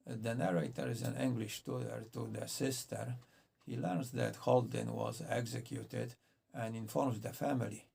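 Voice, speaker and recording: Man, book reviewer, measured and earnest, raspy, gravelly. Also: medium pitch, a little mellow. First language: Polish.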